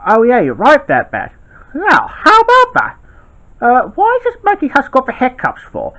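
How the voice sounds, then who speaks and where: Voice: high voice, huge pitch swings, moderate pace, bright, forceful tone, heavily acted. A performer voicing a bumbling, cheerful dad who rambles and hesitates, in a homemade toy play on a dull lo-fi recording. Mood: puzzled. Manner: rambling, hesitant, full of ums.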